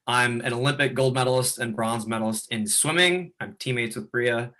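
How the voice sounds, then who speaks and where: A man in his twenties, a swimmer, introducing himself in a relaxed way. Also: medium pitch, moderate pace. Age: 20s. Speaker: swimmer giving a testimonial. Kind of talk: testimonial interview.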